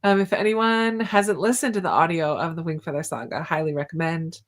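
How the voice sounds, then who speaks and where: A woman's voice: low-pitched, fairly quick. A woman hosting a casual book discussion, talking in a chatty, informal way. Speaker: host of a book channel readalong.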